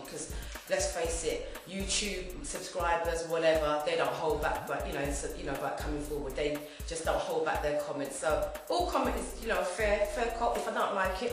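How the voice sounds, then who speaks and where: A woman, around her forties, chatting to camera in a rambling, chatty way, her voice a little hoarse from a throat infection. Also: lowish voice. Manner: chatty and rambling, lively gestures. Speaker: wig review vlogger. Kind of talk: casual chat to camera. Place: home room indoors. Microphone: camera at mid distance. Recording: very echoey room with faint music.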